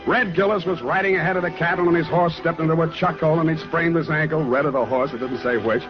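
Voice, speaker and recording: An actor playing a tough, cynical private detective in a wry, clipped 1940s radio style. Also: male voice, on the high side, fairly even intonation, fast talker, lightly acted. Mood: dry narrating. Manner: wry, clipped, hard-boiled. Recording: dull 1940s radio recording with music.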